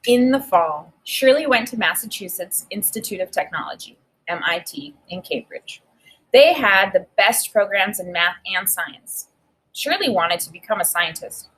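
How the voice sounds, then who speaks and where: A woman teacher reading a children's book aloud and pausing to think aloud about it. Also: medium pitch, husky, poor audio. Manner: reads with expressive emphasis, pauses to think aloud. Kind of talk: read-aloud lesson with think-aloud.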